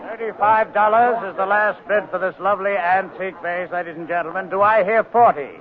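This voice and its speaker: A narrow, tinny radio recording carries an actor as a smooth, crooked auctioneer. He is measured and calculating, in 1940s crime drama style. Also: high voice, close to an everyday voice. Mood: showy patter. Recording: muffled narrow-band old radio recording.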